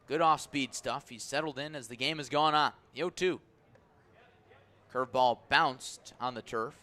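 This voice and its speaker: A man calling baseball play-by-play on the radio in measured, descriptive, clipped phrases. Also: medium pitch, sharp tone. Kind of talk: radio sports commentary.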